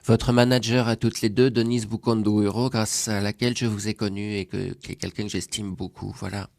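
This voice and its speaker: A man hosting a radio interview, formal and courteous, a little halting at times. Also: lowish voice, little pitch movement, vocal fry, darkish tone, a little hiss or hum. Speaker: radio host. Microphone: studio microphone.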